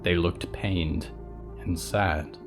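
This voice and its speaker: A man's voice: low-pitched, fairly even intonation, drawn-out words. A man narrating scripted horror fiction as a storyteller, with instrumental music behind him.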